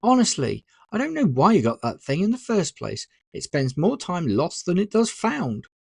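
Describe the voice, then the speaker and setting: A narrator voicing a brisk, affectionate, mildly exasperated mother in an audiobook. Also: medium pitch, sing-song, vocal fry, husky, no echo, studio quality, heavily acted.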